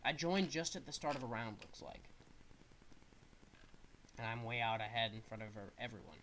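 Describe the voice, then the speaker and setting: A man's voice: medium pitch, lively intonation, moderate pace, a bit bright, a little hiss or hum, rough recording. A young man with a stuffed-up nose casually commenting on a game he is playing, explaining the controls as he goes. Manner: rambling, explains controls as he plays. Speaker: gaming streamer.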